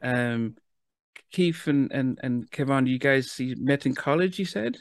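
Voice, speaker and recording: A man from the UK hosting an interview, talking in a halting way with lots of restarts. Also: mid-range voice, no echo. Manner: halting, restarts often, laughs easily. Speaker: interview host. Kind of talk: casual interview chat.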